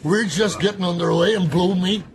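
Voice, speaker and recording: An actor playing a boisterous, menacing, hard-drinking sailor who spins yarns in rough, jeering speech for a radio drama. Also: male voice, high voice, normal speed. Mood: gloating, boisterous.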